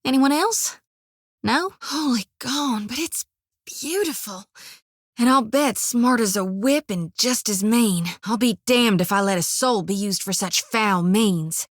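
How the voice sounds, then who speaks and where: Game companion, young priestess, folksy slangy drawl, bright-ish high voice, close dry studio sound. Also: female voice, expressive, a little slow, dry recording. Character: young devout woman priest, folksy and spirited. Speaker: companion character. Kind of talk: video game voice acting.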